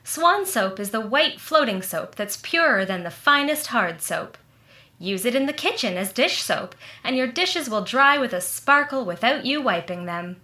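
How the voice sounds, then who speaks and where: A woman's voice: a little high, animated, moderate pace, sharp tone, roomy sound. A woman playing a cheery, amused neighbor and soap seller in 1940s radio style. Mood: cheerful selling. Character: perky, amused neighbor friend and soap pitchwoman. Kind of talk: 1940s radio ad and comedy acting.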